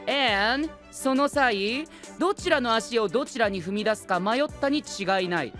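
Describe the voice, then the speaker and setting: A man playing a prim, rigid young gentleman knight in an anime-style voice drama, formal and pompous, sprinkling in English exclamations. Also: very high voice, expressive, unhurried, faint music behind, exaggerated voice. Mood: insistent, self-assured.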